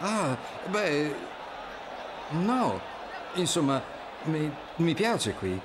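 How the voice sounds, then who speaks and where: A man playing an enthusiastic, devoted young prince in an animated game dub. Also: a little high, extremely slow, noisy background, full character voice. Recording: crowd and street ambience behind.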